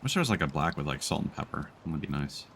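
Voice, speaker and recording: A man chatting casually as he live-streams a game, musing aloud in an unhurried way. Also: a bass voice, sing-song, fairly bright tone, slight background noise.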